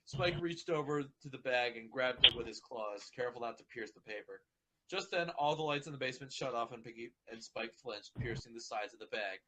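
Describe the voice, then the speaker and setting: A man's voice: medium pitch, brisk, background noise. A man reading a fan fiction story aloud informally, stumbling over words and sometimes swearing.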